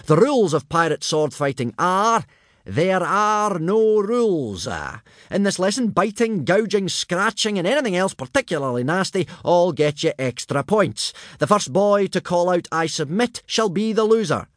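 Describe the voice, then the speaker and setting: A man voicing a loud, gruff male Viking trainer who yells his orders. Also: big pitch swings, moderate pace, no echo, clean studio sound. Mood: booming. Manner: booming, yelling.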